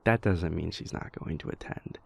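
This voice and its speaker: A man playing an anxious, self-doubting vampire prince who confides in his lover in an intimate audio roleplay, stammering and repeating himself in a husky, gravelly voice. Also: sits low, exaggerated voice. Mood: wryly amused. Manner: halting, stammering, repeats words, hesitant.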